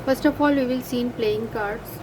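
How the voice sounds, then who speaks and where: A woman's voice: on the high side, normal speed, background noise. A woman with an Indian English accent and Hindi as her first language, giving a casual card reading with frequent hesitations and self-corrections. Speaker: card reader.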